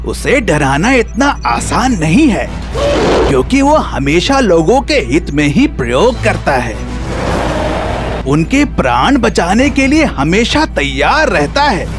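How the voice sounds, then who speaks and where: A man playing a selfish, scheming scientist boss in an animated children's story, brooding and emphatic. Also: high voice, slow, over music, exaggerated voice. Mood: scheming, resentful.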